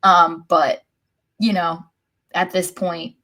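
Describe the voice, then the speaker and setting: A woman's voice: lowish voice, flat delivery, takes it slowly, bright, forceful tone. A woman co-hosting a soccer podcast, chatting casually in a wry way. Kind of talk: casual sports chat.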